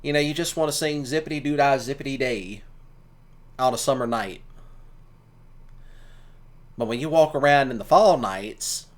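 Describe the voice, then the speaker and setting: A man's voice: medium pitch, normal speed, pauses often. A man giving casual, rambling commentary in a folksy, colloquial way, like a streamer talking to listeners. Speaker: streamer and ASMR audio creator.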